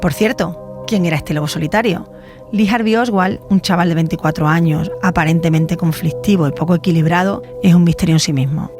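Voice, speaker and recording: A woman's voice: on the low side, fairly quick, over music. A woman with an accent from Spain, hosting a podcast with scripted documentary narration. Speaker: podcast host, journalist.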